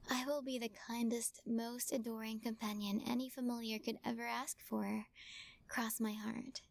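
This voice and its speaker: A woman voicing a sweetly possessive witch in an audio roleplay, talking softly and teasingly and often dropping into whispers. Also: a little high, creaky. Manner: soft, teasing, coaxing, slips into whispers.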